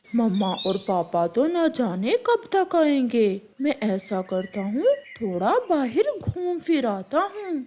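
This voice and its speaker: A woman voicing a carefree, greedy young bird boy in a children's cartoon, childlike and excitable. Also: on the high side, slow pace, voice pushed down, full character voice. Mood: carefree, eager.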